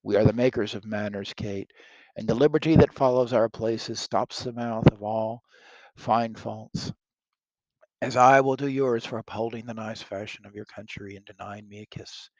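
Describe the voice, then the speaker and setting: A man's voice: on the low side, steady tone, normal speed, dry recording, highs cut off, natural voice. A man reading as a bold young king wooing. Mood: ardent. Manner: earnest, declamatory reading of old verse.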